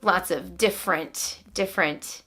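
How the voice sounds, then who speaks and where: Bright-voiced woman explaining to parents. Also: fairly low pitch, measured pace, slightly rough. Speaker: homeschooling mother and vlog host. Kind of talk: explanatory vlog narration to parents.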